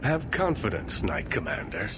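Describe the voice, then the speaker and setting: A man's voice: fairly low pitch, big pitch swings, moderate pace. A man playing a proud, dignified mage leader in a video game, with a formal, pointed manner. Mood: urgent, reasoning. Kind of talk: video game cutscene acting. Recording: clear background ambience noise.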